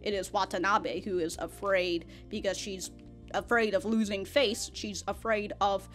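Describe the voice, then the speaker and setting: A woman's voice: mid-range voice, fairly even intonation, measured pace, faint music behind. A young woman in her twenties hosting an anime reaction video, chatting casually, rambling with frequent laughs and swearing, close to a studio mic. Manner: rambling, laughs often, swears, repeats words. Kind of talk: casual review chat. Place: small room with anime backdrop. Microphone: large foam-covered studio mic in front.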